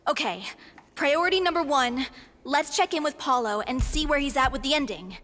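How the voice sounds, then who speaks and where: A woman's voice: high-pitched, expressive, moderate pace. An actress voicing an earnest young woman of a theatre troupe in a video game, explaining things sincerely. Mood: hopeful. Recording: loud music behind the voice.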